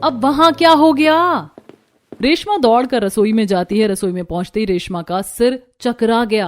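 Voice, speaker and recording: A woman's voice: on the high side, darkish tone. A woman narrating a scripted cartoon story.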